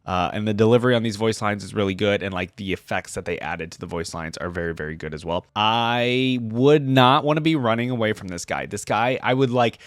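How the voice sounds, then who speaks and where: A man's voice: lowish voice, a little fast, few pauses, no echo, studio quality. A man hosting a reaction video, talking casually with informal, exclamatory asides.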